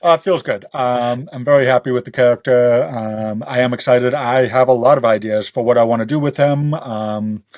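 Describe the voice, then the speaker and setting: A man's voice: medium pitch, steady tone, moderate pace, hardly any pauses, a little mellow, dry recording. A man speaking as a guest author in a casual podcast interview, explaining things in detail in a conversational way. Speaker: guest author of western fiction.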